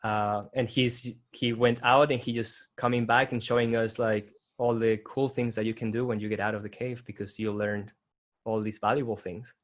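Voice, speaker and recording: A man's voice: fairly low pitch, level tone, normal speed. A man explaining ideas casually in a conversation, with loose phrasing and fillers.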